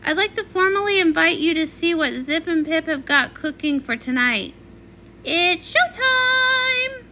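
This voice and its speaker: A woman performs a comic read-along in a high, bright voice. The reading is theatrical and swings widely between characters, over faint background noise. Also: animated, unhurried.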